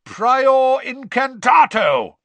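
A man's voice: medium pitch, wildly swooping pitch, moderate pace, sharp tone, husky, dry recording, voice pushed up, full character voice. A man voicing a blustering, hot-headed wizard official who roars and is easily flustered, in a storybook reading. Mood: shouted command. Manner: roaring accusations, stumbling when challenged.

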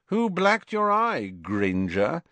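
A man's voice: on the high side, huge pitch swings, slow, pushed and bright, dry recording, pitched above the performer's own voice, exaggerated voice. A man voicing an arrogant, spoiled teenage boy with a drawling sneer.

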